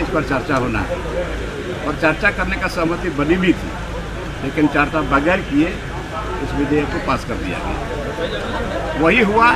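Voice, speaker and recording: A man's voice: fairly high pitch, poor audio. A man giving an unscripted press statement outdoors. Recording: busy outdoor crowd and traffic noise.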